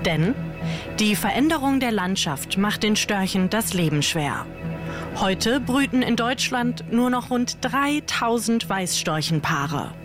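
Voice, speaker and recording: A woman reads short science news items as scripted narration, steady and even. Her voice sits at a middle pitch. Clear background music plays under her. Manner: even, read-aloud delivery. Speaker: quiz question narrator. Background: music bed.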